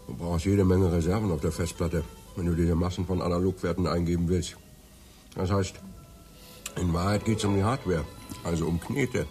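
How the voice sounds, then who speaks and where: Radio drama: a gruff, cutting friend with a very low voice. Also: male voice, steady tone, moderate pace, dark tone, soft background music. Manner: blunt, grumbling. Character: gruff, blunt pub friend.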